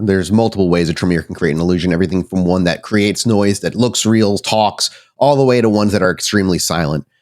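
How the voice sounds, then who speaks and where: A man working as game master, improvising suspenseful, deliberate narration for a horror tabletop roleplaying game. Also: lowish voice, a little mellow. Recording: music behind the voice. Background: background music.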